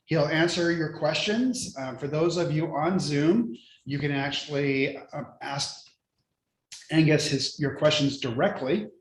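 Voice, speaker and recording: A man's voice: on the high side, a little slow, echoey room, rough recording. A man hosting a live interview over a video call, speaking in a friendly, hesitant way with many ums. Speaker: host. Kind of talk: casual interview chat.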